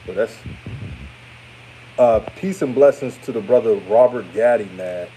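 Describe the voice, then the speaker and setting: A man in his thirties, from Houston, with a deep voice that sounds older, giving casual hot takes and reading chat comments on a live stream. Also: a little slow, a little hiss or hum, rough recording. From Houston, Texas. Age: 30s. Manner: relaxed, chatty, repeats himself, trails off. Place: his room after a game.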